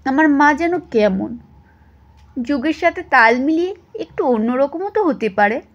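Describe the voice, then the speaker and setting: A woman's voice: fairly high pitch, highs cut off. A woman reading a first-person story as scripted audio narration.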